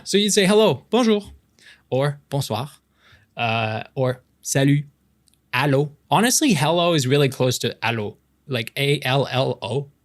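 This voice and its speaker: A French Canadian man, a native French speaker, playing a friendly, playful young man who casually tutors his childhood friend in an intimate romance ASMR roleplay. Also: mid-range voice. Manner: halting, encouraging, often chuckling, drawn-out demonstrations. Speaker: voice actor in a romantic audio roleplay.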